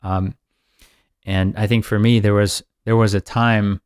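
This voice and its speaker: Man, mid-thirties, low voice, dry sound, rambling upbeat podcast chat. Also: little pitch movement, unhurried, darkish tone, smooth, dry recording. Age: 30s. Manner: rambling, upbeat, laughs often. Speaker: podcast co-host. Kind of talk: casual chat.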